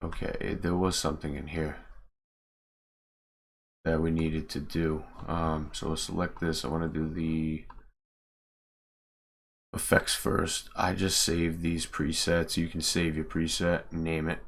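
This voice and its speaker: Low creaky guy streaming a tutorial, takes his time, mumbles to himself. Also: level tone, a little slow, long pauses, a little hiss or hum. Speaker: streamer, game mod creator. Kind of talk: casual livestream tutorial commentary.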